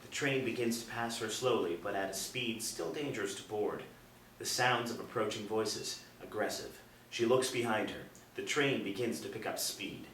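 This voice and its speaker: A man narrating stage directions at a screenplay table read in measured, dramatic storytelling phrases. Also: lowish voice, a bit bright. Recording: echoey room, distant, faint noise.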